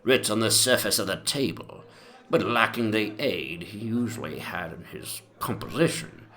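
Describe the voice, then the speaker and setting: Man playing a creaky, pompous very old gentleman. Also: fairly low pitch, lively intonation, moderate pace. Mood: reminiscing, pompous. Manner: pompous, old-fashioned, rambling. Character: very old rambling English gentleman memoirist, wistful, pompous. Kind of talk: dramatic reading of an antiquarian memoir.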